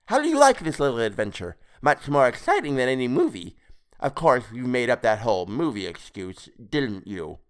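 A man's voice: mid-range voice, very animated, normal speed, clean tone, natural voice. A man voicing a flabby, sinister toad-faced sea creature in an audiobook, mocking, gloating and theatrical. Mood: mocking glee. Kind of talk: dramatised audiobook character voice.

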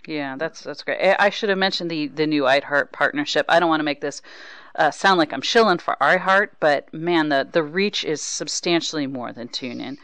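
Clear-voiced woman talking sports casually. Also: deep voice, moderate pace, fairly bright tone, dry recording. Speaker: podcast host interviewing a broadcaster. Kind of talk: casual sports talk interview.

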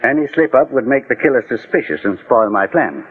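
An actor playing a composed, authoritative American private investigator in a 1940s radio drama, speaking formally and deliberately. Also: male voice, mid-range voice, animated, slightly rough, narrow, tinny audio. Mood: firm, confident. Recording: thin, band-limited 1940s radio recording.

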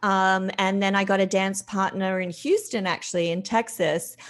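A woman from Australia with a light Australian accent, telling her story as a podcast guest in a chatty, unhurried way. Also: mid-range voice, fairly even intonation. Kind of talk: casual podcast interview.

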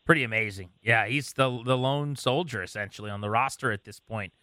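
A man hosting a sports radio interview, recalling games in an animated, rambling storytelling way. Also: medium pitch, a little fast, no echo. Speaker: sports radio show host.

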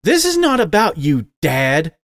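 A man's voice: a little high, wildly swooping pitch, unhurried, a bit bright, no room sound, studio quality, pitched above the performer's own voice, exaggerated voice. A man voicing a young adult son, a wolf living as a horse, who sounds hurt and defiant with a rising voice.

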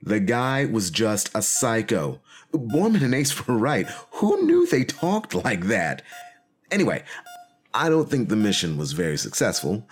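A man playing a frustrated, self-justifying colonel recording a conversational personal log in an audio drama. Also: low-pitched. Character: frustrated, self-justifying male military colonel, a time traveler.

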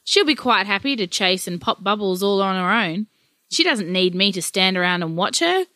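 A woman voicing a lazy, grumpy old grandfather, gruff and dismissive. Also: mid-range voice, expressive, moderate pace, sharp tone, no room sound. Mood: smug.

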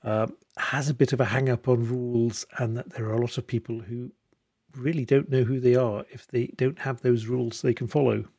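A man hosts a podcast interview, posing long, measured questions. His voice is gravelly and a little husky, and the recording sounds dry and close. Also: mid-range voice, little pitch movement, mellow, no echo. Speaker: podcast host. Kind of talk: interviewing a guest.

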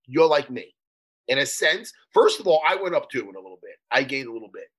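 A man's voice: medium pitch, sing-song, fast talker, fairly bright tone, breathy edge. A man co-hosting a podcast, trading jokes and teasing banter in casual chat. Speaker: podcast co-host.

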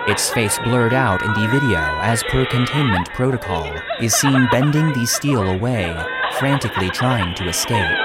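A man playing an archive database that reads incident logs in an audio drama, formal, measured and impassive. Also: medium pitch. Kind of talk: scripted narration in an audio drama.

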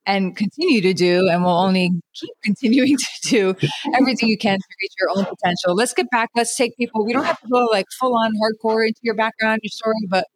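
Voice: mid-range voice, fast, no echo, rough recording. Upbeat interview host, dry sound. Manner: enthusiastic, affirming. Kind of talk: casual interview.

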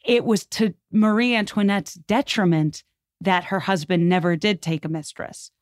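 A woman's voice: mid-range voice, fairly even intonation, moderate pace, no room sound, studio quality. A woman talking through history as a podcast guest, conversational and informal, with an enthusiastic, opinionated manner.

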